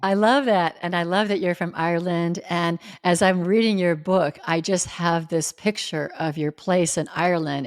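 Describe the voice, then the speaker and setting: A woman's voice: lowish voice, dry recording, clean studio sound. A woman podcast host introducing a guest and asking interview questions.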